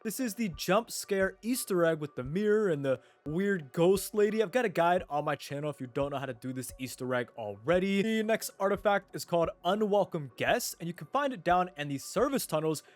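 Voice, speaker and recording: A man's voice: high voice, animated, a little fast, hardly any pauses. A man doing an informal voice-over for a video game guide, explaining things step by step. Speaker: gaming video creator. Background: background music.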